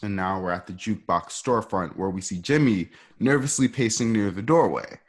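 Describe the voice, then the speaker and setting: A man with a low voice narrates a radio play. He describes scenes and stage action in a casual, conversational way. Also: fairly quick, breathy edge. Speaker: narrator reading stage directions.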